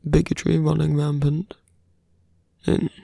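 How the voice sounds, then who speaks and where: A suave male villain, acted out by a performer for an intimate ASMR roleplay. He speaks very slowly and deliberately, with heavy gravel and breath in the voice, often dropping close to a whisper. The sound is dry and close, and his manner is teasing, condescending and quietly menacing. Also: medium pitch, flat delivery, dry recording. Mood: persuasive, condescending.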